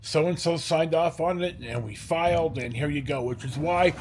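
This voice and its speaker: An older man with an American accent telling personal stories in a casual, rambling way, halting and digressing and acting out bits of dialogue. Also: on the high side, darkish tone, slight background noise.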